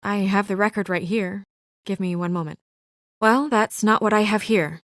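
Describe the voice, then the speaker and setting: An actress playing a brisk, guarded young female office assistant in a noir video game drama, speaking in a crisp, businesslike, dry manner. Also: medium pitch, no echo, close to an everyday voice. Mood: professional, polite.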